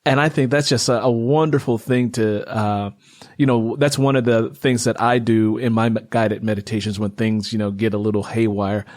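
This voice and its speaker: A man's voice: on the low side, steady tone, moderate pace, darkish tone. A middle-aged man hosts a casual interview, talking in a rambling, reflective way. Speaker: interview host.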